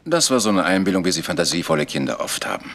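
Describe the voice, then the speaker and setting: A man's voice: deep voice, very animated, quick pace, a bit bright, full character voice. An actor playing a defensive, resigned village churchman in a German radio crime drama, explaining himself emphatically.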